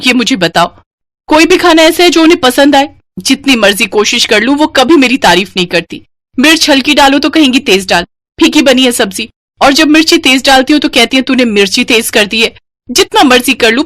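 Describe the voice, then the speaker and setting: A woman's voice: high voice, a bit bright, pitched above the performer's own voice. A woman voicing an earnest young Indian wife who is easily exasperated, in a story.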